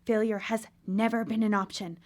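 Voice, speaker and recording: A woman's voice: mid-range voice, little pitch movement, normal speed, close to an everyday voice. A woman playing a driven, obsessive female scientist in a live radio drama, earnest and intensely theatrical. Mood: frustrated.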